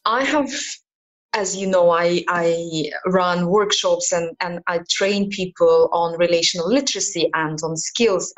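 A woman from Ukraine and Kazakhstan with a slightly low voice talks as a guest on a podcast. She explains her ideas in a thoughtful way and often pauses on "um.". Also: rough recording. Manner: thoughtful, explanatory, many ums. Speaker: relationship mentor, guest. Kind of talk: interview answers.

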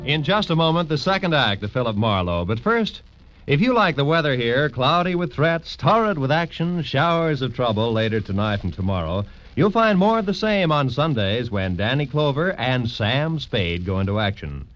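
An American man announcing in a scripted 1940s radio style with crisp, polished showmanship. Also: mid-range voice, big pitch swings, normal speed, a bit bright, soft background music. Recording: muffled vintage radio recording.

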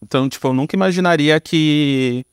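A man's voice: fairly high pitch, a little fast, clean tone, dry recording, studio quality. A man in his thirties or early forties with a Brazilian accent, talking informally as an interview guest, explaining and telling stories. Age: 30s to early 40s. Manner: informal, explanatory, frequent tag words. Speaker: podcast guest, screenwriter. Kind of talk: casual conversational storytelling.

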